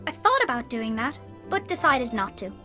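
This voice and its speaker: A woman voicing a plucky, adventurous young heroine in a video game, with a bright, spirited delivery. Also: on the high side, very animated, normal speed. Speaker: game character. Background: loud game music.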